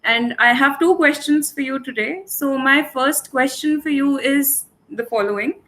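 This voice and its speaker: A woman's voice: high voice, moderate pace, fairly bright tone. A woman in her twenties, an intern, introducing herself politely and earnestly before asking a question. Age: 20s.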